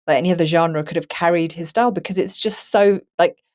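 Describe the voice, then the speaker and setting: A woman chatting casually and in an animated way as a podcast host, repeating phrases for emphasis. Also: fairly low pitch, quick pace. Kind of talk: casual chat about books.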